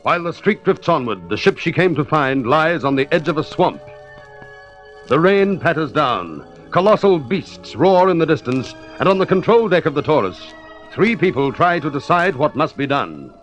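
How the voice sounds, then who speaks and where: A man's voice: fairly high pitch, big pitch swings, moderate pace, fairly bright tone, highs cut off, soft background music. A man narrating a space adventure radio serial in a dramatic, scripted style. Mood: ominous, dramatic.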